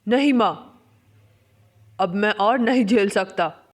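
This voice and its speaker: A woman's voice: medium pitch, slow. A woman voicing a short-tempered young married man in a Hindi cartoon story, curt and irritable. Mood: angry, exasperated.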